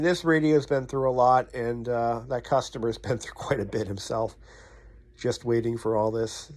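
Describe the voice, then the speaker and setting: A man with a slightly high voice hosts a casual repair vlog. He talks conversationally, thinking aloud as he works, in the plain manner of a tradesman talking to viewers. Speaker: radio repairman and video host. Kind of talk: casual vlog chat while working.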